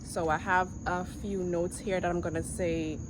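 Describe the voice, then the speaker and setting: A woman in her forties, originally from Jamaica, vlogging to camera outdoors on a quiet street in an earnest, reflective way. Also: on the low side, fairly even intonation. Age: 40s. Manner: earnest, reflective, with pauses and restarts. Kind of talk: casual vlog to camera. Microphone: handheld camera. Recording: outdoor noise with insects. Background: insects and passing vehicles.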